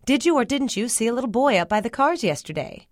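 A woman voicing a sharp, worried rural mother who scolds and questions in an audiobook. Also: on the high side, big pitch swings, fast talker, dry recording, studio quality, voice pushed up. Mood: annoyed, scolding. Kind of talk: audiobook character dialogue.

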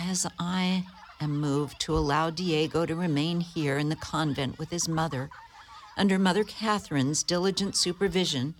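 A woman playing a devout, rule-bound social worker, formal and earnest, in a community radio play. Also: fairly low pitch. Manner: formal, earnest, hesitant to bend rules. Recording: noisy radio studio recording.

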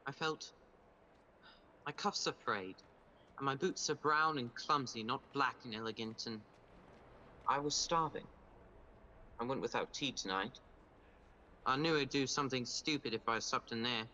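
Bright-voiced, nervous young suitor. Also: male voice, mid-range voice, a little hiss or hum, poor audio. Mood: flustered. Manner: nervous, halting. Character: awkward, earnest, smitten young clerk. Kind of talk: radio play acting.

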